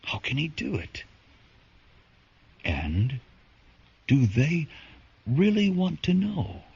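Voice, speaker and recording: Slow, husky male radio narrator. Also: on the high side, huge pitch swings, a little mellow, highs cut off. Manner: portentous, suspenseful. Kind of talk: dramatic scripted narration for radio play.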